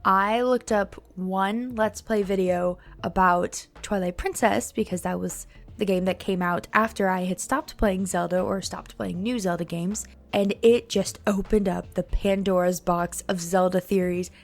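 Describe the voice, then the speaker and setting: A woman's voice: mid-range voice, fairly even intonation, a little slow, soft background music. A woman in her twenties telling her own story in a casual chat, enthusiastic and reflective. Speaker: guest panelist.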